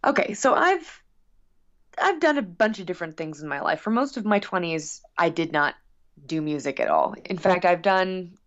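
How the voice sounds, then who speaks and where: A woman's voice: lowish voice, lively intonation, normal speed, dull audio. A woman musician being interviewed casually, talking in a long-winded, rambling, earnest way. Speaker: guest musician.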